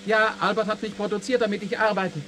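Man acting a high-pitched dutiful robot. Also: level tone, quick pace, background noise, close to an everyday voice. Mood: dutiful, matter-of-fact. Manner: formal, matter-of-fact. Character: Archie, dutiful robot gardener built by another robot. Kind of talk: German radio play acting around 1970.

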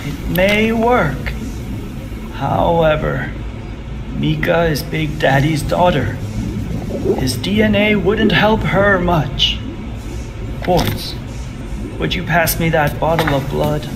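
Elderly game scientist, grave, weary, methodical, very slow delivery, noisy machinery background. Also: male voice, on the high side, creaky. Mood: weary, instructing. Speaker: doctor. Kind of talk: video game cutscene acting.

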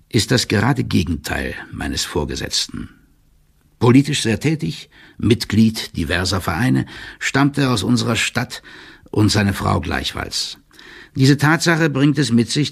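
A man playing an older, loyal court clerk who delivers a confidential report in a formal, measured and officious manner, as in an audiobook drama. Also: mid-range voice, sing-song, vocal fry, breathy edge, full character voice. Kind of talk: dramatised first-person reading of a formal written report.

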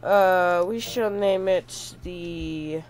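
A man's voice: high-pitched, very slow. A young man with a stuffy, cold-blocked voice, rambling through casual gameplay commentary with lots of goofy self-corrections. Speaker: gaming YouTuber.

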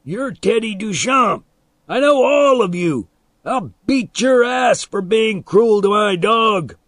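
A man voicing a big, angry bully in a story, shouting, taunting and threatening in a raised voice. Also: mid-range voice, slow pace, dry recording, clean studio sound, natural voice. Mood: furious.

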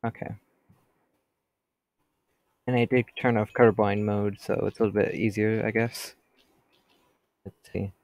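A man's voice: fairly low pitch, flat delivery, measured pace, long pauses, darkish tone, no echo. A teenage boy with a stuffy cold voice, rambling casually as he comments on his gameplay. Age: teens. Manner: chatty, rambling, talks to customers.

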